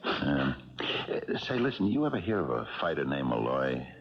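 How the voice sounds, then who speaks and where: A man playing an older, haunted retired American policeman, speaking haltingly in 1970s radio mystery style. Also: rumbling low, sing-song. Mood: wry, hesitant. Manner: halting, hesitant, colloquial. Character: Jerry Roman, old retired policeman, wistful, haunted storyteller. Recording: dull 1970s radio broadcast, music behind.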